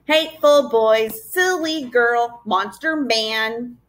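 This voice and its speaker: A woman's voice: on the high side, slow pace, a bit bright. A woman voicing spiteful, taunting furry swamp monsters in a children's storybook, stretching out emphatic jeers. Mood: frenzied taunting.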